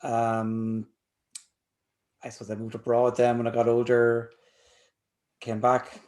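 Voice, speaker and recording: A man from North Tipperary with an Irish accent, answering as a guest in a thoughtful, measured way. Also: mid-range voice, completely flat delivery, darkish tone. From North Tipperary, Ireland. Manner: thoughtful, measured, uses "I suppose". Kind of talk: casual interview answers.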